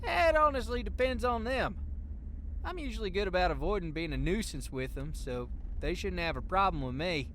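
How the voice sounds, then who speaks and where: Man acting a folksy trucker, fairly high male voice, blunt, good-natured, audio drama, vehicle noise behind. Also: expressive, moderate pace, a bit bright, low-quality recording. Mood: wary. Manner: folksy, wry, colloquial.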